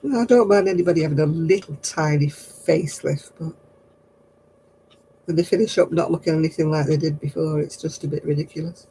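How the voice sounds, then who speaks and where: An older woman chatting casually on her craft livestream, answering viewers in a low, husky voice and a rambling, gossipy way. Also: fairly quick, mellow, a little hiss or hum, rough recording. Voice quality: low, husky voice after throat surgery.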